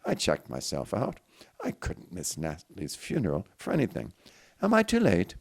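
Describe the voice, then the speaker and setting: Ailing French son, acted by a male reader, nonchalant storybook wit, gravelly, lively pitch. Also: normal speed, exaggerated voice. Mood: unsteady, anxious.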